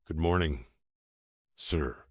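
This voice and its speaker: A man voicing a tired, anxious middle-aged waitress who speaks hesitant, halting English. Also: very deep voice, slightly rough, no room sound, studio quality, full character voice. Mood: worried.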